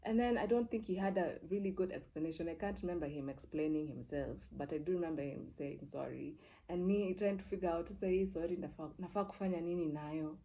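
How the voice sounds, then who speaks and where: Low, mellow-voiced young Kenyan woman storyteller. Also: a little fast, poor audio. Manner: expressive, repeats words for emphasis, switches between English and Swahili. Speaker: TV presenter. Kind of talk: personal storytelling, casual and candid.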